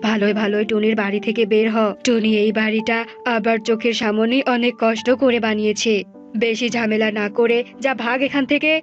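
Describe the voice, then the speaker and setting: A woman's voice: fairly high pitch, flat delivery, moderate pace, highs cut off, faint music behind, natural voice. A woman voicing a stern forest bird in a cartoon, scolding and commanding. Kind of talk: animated fable character acting.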